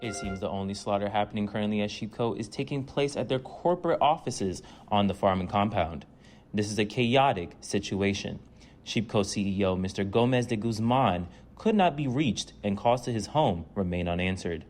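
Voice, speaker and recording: A man's voice: on the low side, lively intonation, moderate pace, a little mellow, faint music behind, close to an everyday voice. A man playing a serious TV news reporter giving a scripted on-scene report in a drama.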